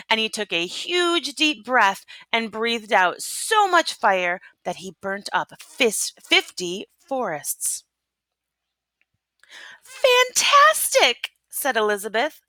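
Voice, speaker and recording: A woman's voice: fairly high pitch, pushed and bright, no echo. A woman teacher reading a picture book aloud expressively and prompting young listeners with questions. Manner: instructional, prompting. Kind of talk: expressive storytelling with questions to children.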